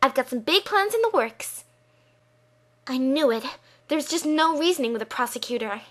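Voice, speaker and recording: Girl doing a cheeky young thief lady, perky n boastful, high bright voice, video game dub style. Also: lively intonation, moderate pace. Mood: teasing, indignant. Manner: perky, theatrical, teasing. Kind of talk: video game fandub audition.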